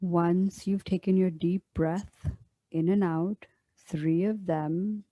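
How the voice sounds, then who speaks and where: A woman leading a guided meditation as a teacher, speaking in a gentle, soothing, unhurried way with long pauses. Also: lowish voice, steady tone, no room sound, muffled recording.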